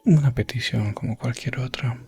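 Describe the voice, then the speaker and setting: A man playing a calm, courteous elf prince adventurer in a soft, gentle ASMR fantasy roleplay, slipping in and out of whispers. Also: mid-range voice, normal speed, creaky, slightly rough. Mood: calm, recounting. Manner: hushed, gentle, drifting in and out of whisper.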